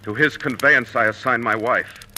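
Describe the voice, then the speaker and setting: An actor playing a proud, noble Moorish general and devoted husband, declaiming in measured old radio Shakespeare style. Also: male voice, mid-range voice, bright, forceful tone, a little hiss or hum. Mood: resolute. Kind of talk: 1940s radio Shakespeare acting. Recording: old radio broadcast with music.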